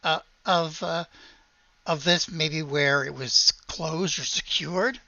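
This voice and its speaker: A game master improvising tabletop game narration in a halting, hesitant way. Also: medium pitch, steady tone, vocal fry, sharp tone, no echo, highs cut off.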